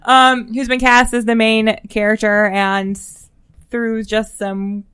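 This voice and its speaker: Clear-voiced American woman chatting casually about books. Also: fairly high pitch, flat delivery, slow pace, fairly bright tone. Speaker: podcast co-host discussing upcoming books. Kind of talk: casual book chat.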